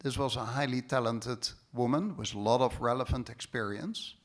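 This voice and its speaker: A man's voice: medium pitch, fairly even intonation, normal speed. A man from the Netherlands with a Dutch accent, speaking as a panelist at a conference with emphatic, sarcastic remarks. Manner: sarcastic, emphatic, with ironic mock quotes. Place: conference hall stage.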